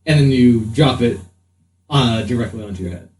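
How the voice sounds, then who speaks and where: A man running a tabletop game as game master, narrating off the cuff with pauses mid-sentence. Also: mid-range voice, a little mellow. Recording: echoey, noisy room, distant mic.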